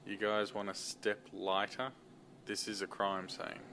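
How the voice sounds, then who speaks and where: A man plays a tired, gruff police lieutenant in an amateur audio drama. He is dry and curt, fairly low-pitched, with faint background noise. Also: completely flat delivery, measured pace, pushed and bright. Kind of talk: fan-dub audio drama acting.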